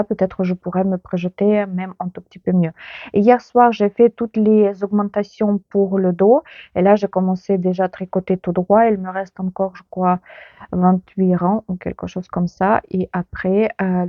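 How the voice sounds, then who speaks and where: A chatty woman whose first language is Ukrainian talks to her viewers in a loose, meandering way, pausing often on filler sounds. Her voice is mid-pitched, and the recording is dry and a little dull. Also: no room sound. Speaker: knitting podcast host. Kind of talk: casual chat to viewers.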